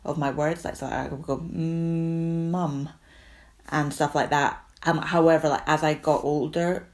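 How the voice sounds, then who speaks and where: A woman's voice: sits low, level tone, unhurried. A woman describing her stutter, speaking with silent blocks and frequent "um" and "like" fillers. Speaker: community member sharing experience. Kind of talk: personal testimony to camera.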